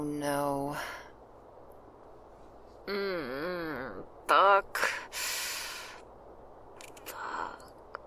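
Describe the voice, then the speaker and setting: A woman playing a seductive, hungry vampire who is doting yet predatory, in an intimate, breathy whispered ASMR roleplay. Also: a bit bright, slight background noise, full character voice. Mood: teasing apology. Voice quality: breathy whisper with creaky low murmurs. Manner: slow, intimate, sultry. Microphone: very close binaural microphone.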